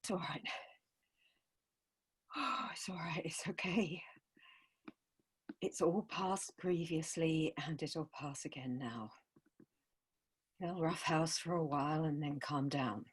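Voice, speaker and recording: An actress playing a mother and teacher who speaks in a hushed, soothing way. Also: low-pitched, moderate pace, few pauses, breathy edge.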